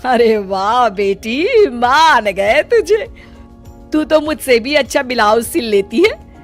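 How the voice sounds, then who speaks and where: A woman's voice: a little high, very animated, takes it slowly, faint music behind. A woman voicing a bossy, fretful middle-aged mother-in-law with a commanding manner in a cartoon story. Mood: approving. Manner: commanding, scolding.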